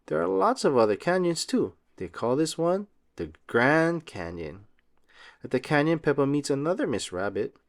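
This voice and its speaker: Male storybook narrator for young children, expressive and very lively in pitch. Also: normal speed. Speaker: read-aloud host. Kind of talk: children's picture book read-aloud, scripted narration.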